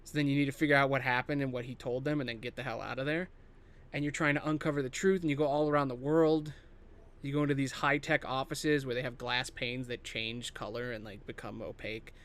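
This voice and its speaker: A man's voice: mid-range voice, fairly even intonation, a little fast, a bit bright. A man hosting a podcast in a casual, improvised solo monologue, rambling and thinking aloud with casual swearing. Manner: casually profane. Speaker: podcast host.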